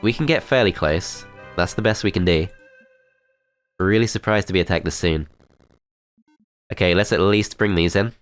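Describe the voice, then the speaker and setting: Deep-voiced man with a stuffy cold. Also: normal speed, pauses often, faint music behind. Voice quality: nasal, congested. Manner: calm, methodical, thinking aloud. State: has a cold, blocked-up nose. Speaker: gameplay commentator. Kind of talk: strategy game let's play commentary.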